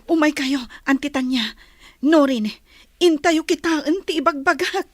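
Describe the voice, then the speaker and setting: Woman as bride's earnest friend, young, Filipino accent, high pitched, audio drama. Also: measured pace, no room sound, studio quality. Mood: urgent, apologetic.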